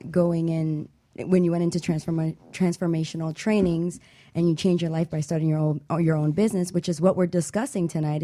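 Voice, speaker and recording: A woman's voice: fairly low pitch, mellow. A woman co-hosting a radio talk show, upbeat as she guides the conversation and leads into the breaks.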